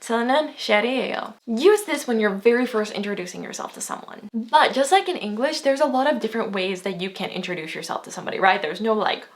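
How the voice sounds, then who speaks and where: A woman's voice: mid-range voice, fairly bright tone. A woman, giving a chatty, upbeat video language lesson and laughing often. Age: 20s. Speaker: language teacher.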